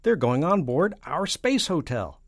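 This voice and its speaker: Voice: medium pitch, sing-song, a little slow, gravelly, no echo, clean studio sound, voice pushed up, full character voice. A performer voicing a foolish, self-important president with an emphatic, declamatory manner in a storybook. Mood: alarmed.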